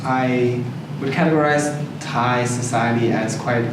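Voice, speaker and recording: A man's voice: medium pitch, fairly even intonation, unhurried. Echoey, distant Canadian man in discussion. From Toronto, Canada. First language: English. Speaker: discussion participant. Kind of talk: reflective group discussion. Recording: echoey noisy room, distant mic.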